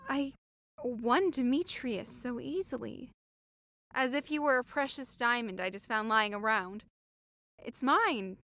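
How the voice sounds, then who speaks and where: A woman's voice: high voice, bright, forceful tone, exaggerated voice. A woman playing an insecure young woman in love in a Shakespeare audio drama, sounding wistful.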